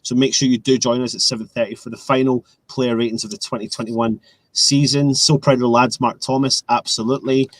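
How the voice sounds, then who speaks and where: A man's voice: medium pitch, little pitch movement, fairly quick, no room sound, poor audio. A young Scottish man hosting a casual live fan stream, chatting and reading out viewer comments over a video call. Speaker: host.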